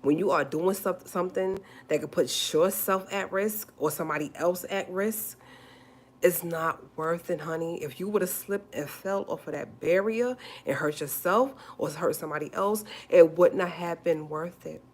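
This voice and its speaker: A forty-year-old woman talks straight to her livestream viewers. Her voice sits very low, and she hammers her points home by repeating them. She calls listeners honey as she goes. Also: normal speed. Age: 40s. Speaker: livestream host. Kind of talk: casual livestream talk.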